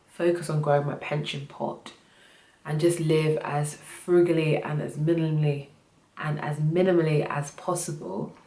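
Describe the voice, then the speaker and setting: A woman's voice: sits low, darkish tone. A young woman vlogging about personal finance, her voice a little off from a cold, talking earnestly and correcting herself as she goes. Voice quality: voice slightly off from a cold. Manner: earnest, self-correcting explainer. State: has a cold. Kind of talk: casual talk to camera. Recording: echoey room.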